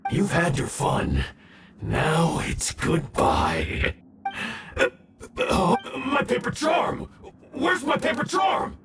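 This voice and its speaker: A man's voice: high-pitched, huge pitch swings, takes it slowly, echoey room, recorded from a distance, music in the background, full character voice. A man playing a scheming, theatrical video game villain who gloats and taunts and is easily rattled. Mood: panicked, angry. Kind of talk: video game character acting.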